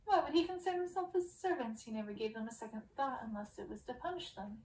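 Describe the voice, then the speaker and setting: A woman's voice: a little high, quick pace, a little mellow, roomy sound, natural voice. A woman voicing gloating, cruel witch sisters in a storybook, speaking in a formal, menacing, taunting way. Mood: gleeful, scornful. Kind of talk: storybook character reading.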